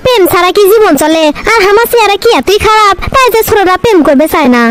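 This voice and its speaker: Woman voicing a squeaky-high boy-crazy cartoon college girl. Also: animated, fast talker, over music. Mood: lovelorn, complaining. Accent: northern Bangladeshi. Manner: dramatic, self-pitying and boastful. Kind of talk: comic cartoon dialogue in rural dialect.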